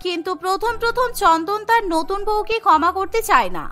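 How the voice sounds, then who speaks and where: Lady narrator for cartoon stories, really high sharp voice, scripted read, light music behind. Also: lively intonation, brisk.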